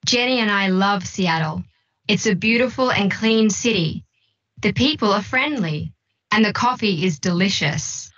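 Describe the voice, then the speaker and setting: A friendly Australian woman tourist in a language-course dialogue. She speaks slowly and deliberately. The playback sounds slightly dull. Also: medium pitch, expressive, a bit bright. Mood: friendly, measured. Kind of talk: scripted textbook dialogue. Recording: dull playback of lesson audio.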